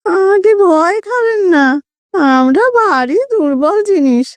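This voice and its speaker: A meek village ghost played by a woman storyteller, nasal and very high. He is humble and muddled, dragging his words slowly as he corrects himself. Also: animated, dry recording, exaggerated voice. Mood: reassuring. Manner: hesitant, deferential, self-correcting. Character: timid, apologetic, muddled male village ghost.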